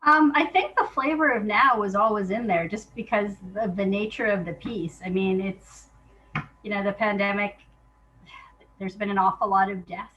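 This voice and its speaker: Bright-voiced woman in a casual interview. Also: mid-range voice, fairly even intonation, moderate pace, muffled recording. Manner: informal, thinking aloud. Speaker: interview guest, playwright. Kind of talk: casual chat.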